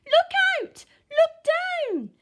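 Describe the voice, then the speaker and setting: A woman voicing a timid, gentle young boy dinosaur who talks plaintively and apologetically. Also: extremely high pitch, sing-song, extremely slow, pitched above the performer's own voice, full character voice. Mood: alarmed.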